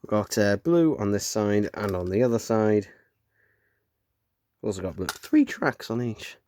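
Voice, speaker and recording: Croaky British man unboxing a cassette. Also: on the low side, expressive, unhurried, a little mellow, slight background noise. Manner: rambling, filler-heavy, self-correcting. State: croaky from hay fever. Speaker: unboxing vlogger. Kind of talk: casual unboxing chat. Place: room at home with window open.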